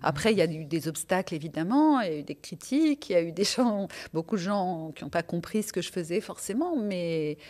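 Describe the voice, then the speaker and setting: A woman's voice: fairly low pitch, lively intonation, brisk, few pauses, darkish tone. A middle-aged woman, raised in France, recalling her life and career in an interview, with frequent hesitations and restarts. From born in Tunisia, raised in France. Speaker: guest, television presenter. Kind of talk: podcast interview, reflective storytelling.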